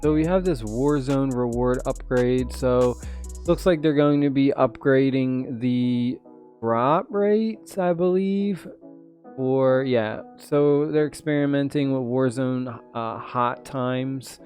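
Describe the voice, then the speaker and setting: A man's voice: medium pitch, slow, a little mellow, faint music behind. A man hosting a mobile gaming channel, still sick and losing his voice a little, rambling casually with lots of fillers. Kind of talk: casual commentary on a mobile game update.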